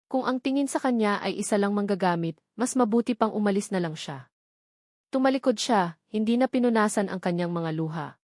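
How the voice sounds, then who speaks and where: A woman narrating a scripted drama in measured, dramatic short phrases. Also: medium pitch, darkish tone, dry recording, clean studio sound.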